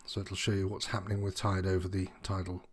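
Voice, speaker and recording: A man's voice: low-pitched, monotone, quick pace, breathy edge. A man giving an informal, unscripted walkthrough as an instructor, explaining things in a slightly hesitant, meandering way. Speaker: sailing instructor presenting tutorial.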